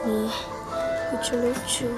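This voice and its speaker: Casting: teen girl vlogger, high bright voice, playful and giggly, phone mic in a mall, loud music behind. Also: flat delivery, slow pace, rough recording. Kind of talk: casual vlog chat with friends. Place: busy shopping mall, indoors. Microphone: handheld phone camera.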